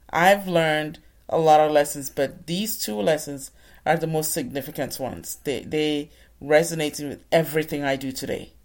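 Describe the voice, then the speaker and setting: A woman's voice: deep voice, a little mellow. A woman from Ghana giving an inspirational talk, telling her own story in an earnest, preacher-like way. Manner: earnest, preacher-like addresses to the audience. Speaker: motivational speaker.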